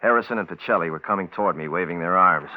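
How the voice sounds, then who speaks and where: An actor playing a terse Navy photographer in a radio suspense drama, with a clipped, grave, measured delivery. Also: male voice, low-pitched, lightly acted. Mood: grave, tense. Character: terse Navy photographer man stranded alone on a bomb-test island. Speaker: lead actor and narrator. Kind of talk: radio suspense drama, first-person log narration. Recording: muffled, noisy vintage radio broadcast recording.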